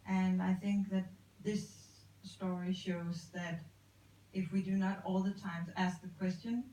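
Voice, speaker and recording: A woman speaking earnestly at a film Q&A, measured and thoughtful, heard from afar in a cinema. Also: medium pitch, steady tone, dark tone. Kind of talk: post-screening Q&A answer. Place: cinema auditorium. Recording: echoey hall, distant, faint ambience.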